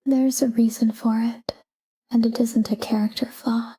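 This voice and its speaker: A woman guiding a sleep meditation in a calm, hushed, breathy whisper, soothing and soft. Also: on the high side, measured pace.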